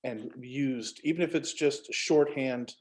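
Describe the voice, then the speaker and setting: A man giving halting explanations as a guest in a casual discussion. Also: medium pitch, a little mellow. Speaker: guest author.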